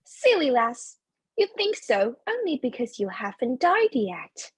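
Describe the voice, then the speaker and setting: A giddy young woman in love with a cruel streak, played by an actress in staged play reading. Her voice is high and swoops theatrically, with a husky edge. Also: big pitch swings, unhurried, dry recording, heavily acted. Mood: mocking. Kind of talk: theatrical reader's-theatre acting.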